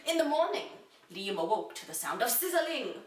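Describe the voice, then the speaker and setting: A woman giving a deliberate, dramatic reading of an amateur children's fantasy story, like a storyteller. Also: fairly high pitch, big pitch swings, recorded from a distance, slight background noise. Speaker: reader. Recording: echoey room, away from the mic.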